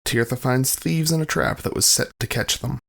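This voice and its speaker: A man's voice: mid-range voice, moderate pace, vocal fry. A man reading scripted scene narration for an audio drama in a formal, announcing manner.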